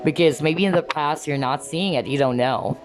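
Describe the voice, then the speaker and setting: A woman giving an earnest tarot card reading, often asking "okay?" as she talks, and her voice is going hoarse. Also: low voice, moderate pace, soft background music. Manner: earnest, repeats "okay?" to viewers. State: losing her voice. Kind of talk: pick-a-card reading to camera.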